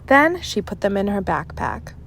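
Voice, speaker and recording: A woman's voice: mid-range voice, big pitch swings, normal speed, a bit bright, slight background noise. A woman narrating a children's chapter book aloud as a storyteller. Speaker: storybook reader.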